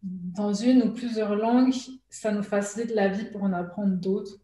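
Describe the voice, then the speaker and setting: A woman from Switzerland, talking as a guest in a relaxed interview. Her speech is hesitant and full of fillers, and she laughs often as she thinks aloud. She was recorded at home in an echoey room. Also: mid-range voice, unhurried. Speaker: guest, artist and illustrator. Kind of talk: casual conversational interview. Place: at home during lockdown.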